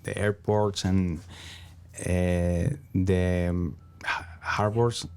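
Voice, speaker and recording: A man from Venezuela with a Venezuelan Spanish accent, answering interview questions in a halting way as he searches for words. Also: low-pitched, level tone, gravelly, a little mellow, clean tone. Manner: halting, searching for words, frequent "you know". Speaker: interview guest, small business founder.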